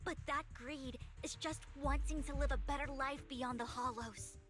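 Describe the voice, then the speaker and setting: A woman's voice: high voice, fairly even intonation, normal speed, natural voice. A woman acting an earnest young video game heroine, righteous and pleading as she confronts someone. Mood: firm, righteous. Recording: game music behind the voice.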